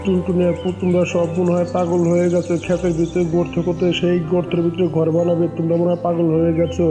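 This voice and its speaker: A man's voice: sits high, completely flat delivery, quick pace, over music, close to an everyday voice. A man voicing a stubborn male bird in a cartoon story, dismissive and mocking toward his neighbours.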